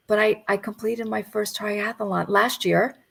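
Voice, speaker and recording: A woman in her fifties, a coach, giving a gentle, reflective motivational talk over a video call. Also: mid-range voice, steady tone, measured pace, gravelly, husky. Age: 50s.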